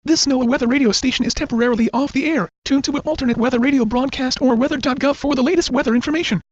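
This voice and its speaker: A woman's voice: high-pitched, very fast, no room sound, muffled recording. A synthetic text-to-speech woman's voice reading a scripted emergency announcement on weather radio.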